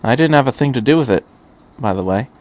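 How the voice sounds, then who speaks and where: A man's voice: medium pitch, expressive, fast talker, darkish tone, natural voice. Casting: man reading male doctor adventurer, breezy and in command, casual prodding delivery, audio story.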